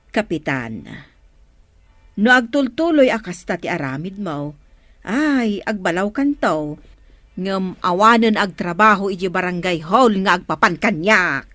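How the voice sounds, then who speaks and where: An earnest village adviser, close dry sound. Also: female voice, medium pitch, animated, unhurried, a bit bright, dry recording. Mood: admonishing. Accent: Filipino, Ilocano. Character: earnest woman villager counselling the captain. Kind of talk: Ilocano radio comedy drama acting.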